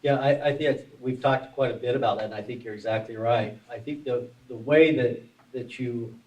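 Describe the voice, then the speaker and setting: A man on a panel at a business forum, working through an explanation and often restarting his phrases. His voice sits at a middle pitch and is picked up from some distance away in the room. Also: steady tone, moderate pace, a little mellow. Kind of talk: panel discussion.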